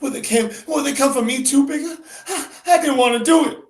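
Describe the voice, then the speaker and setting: A man voicing a frightened young woman who pleads through tears, breaking into a strained high voice, in an amateur novel reading. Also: a little fast, far from the mic. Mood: pleading, sobbing. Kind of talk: amateur read-aloud of a novel. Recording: roomy echo.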